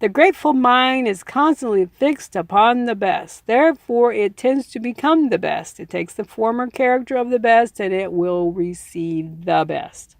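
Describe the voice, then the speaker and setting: A woman reading a self-help book aloud as its narrator, with deliberate, emphatic phrasing and informal commentary of her own. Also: on the high side, animated, gravelly, dry recording, studio quality. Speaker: audiobook reader adding personal commentary.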